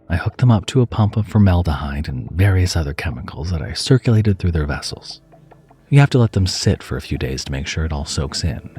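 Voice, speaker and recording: A man with a very low voice narrates a horror tale in the first person. His delivery is theatrical and scripted, moving between hushed and heightened tension. Music plays clearly beneath him. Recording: music bed under the voice. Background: background music.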